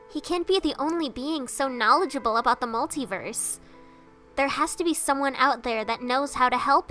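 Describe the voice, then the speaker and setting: Girl doing a small boyish skeleton guy for a comic dub, high voice, slow and earnest, kinda nervous but kind. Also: pushed and bright, full character voice. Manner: hesitant, stammering, earnest.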